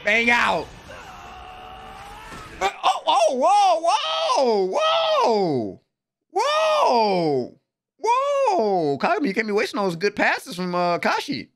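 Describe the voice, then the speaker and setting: A young man doing excited live reaction commentary, slangy and exclamatory, repeating words, on a close mic in a small room at home. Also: extremely high pitch, slow, slight background noise. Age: young adult. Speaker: anime reaction host. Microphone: close desk microphone with headset on.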